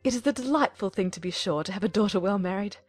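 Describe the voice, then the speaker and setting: A woman's voice: medium pitch, quick pace, husky, no room sound, soft background music, close to an everyday voice. A woman voicing a fussy, self-important middle-aged mother in a period novel audiobook, effusive and exclaiming as she chatters on. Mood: proud, complaining.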